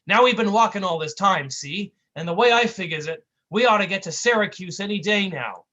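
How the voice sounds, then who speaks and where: A bullying, short-tempered comedy tough guy, voiced by a man, snapping orders in old-timey street slang. His delivery is lively, and his voice sounds bright and sharp. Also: high voice, brisk, lo-fi sound, voice pushed up, heavily acted. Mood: gruff, snappy. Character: gruff bullying male slapstick partner.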